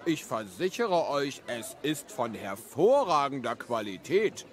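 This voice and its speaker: A man's voice: mid-range voice, big pitch swings, measured pace. A man voicing a simple, eager villager in a story, with an earnest, naive and hesitant manner. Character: simple, eager male villager selling his goods.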